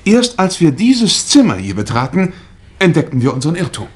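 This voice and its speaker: An actor playing a brisk, plain-spoken husband explaining himself in a 1960s German radio crime drama. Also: male voice, on the high side, big pitch swings. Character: brisk, plain-spoken married man, lost motorist.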